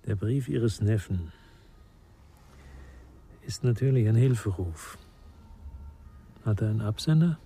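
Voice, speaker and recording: A psychotherapist investigator in a radio play. He asks measured, probing questions in a dark, husky voice. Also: on the low side, exaggerated voice. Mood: calm, inquiring. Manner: calmly questioning. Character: probing, unhurried male psychotherapist turned investigator. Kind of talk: restrained radio play dialogue.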